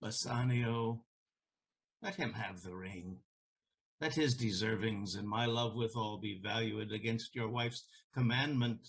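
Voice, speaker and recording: A man acting a solemn elderly merchant in a Shakespearean audio play, speaking in a measured, formal way. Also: lowish voice, slightly rough. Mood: earnest, persuasive.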